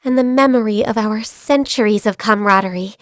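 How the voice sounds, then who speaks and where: An actress voicing a gentle, shy and diligent young female secretary in a video game, soft-spoken, earnest and a little hesitant. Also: mid-range voice, a little fast, dry recording, studio quality, lightly acted. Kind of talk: video game character voice lines. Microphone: close studio mic.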